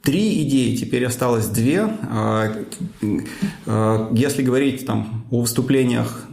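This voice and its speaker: Guy talking through his process, gravelly, lots of ums, echoey room. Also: mid-range voice, darkish tone. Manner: thoughtful, many fillers and pauses. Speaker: conference speaker, cloud architect. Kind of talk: casual interview answers.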